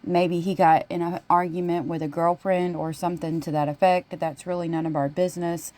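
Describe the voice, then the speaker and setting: A woman's voice: on the low side, little pitch movement, a little fast, dark tone. A woman hosting a true-crime video, giving informal commentary on a case.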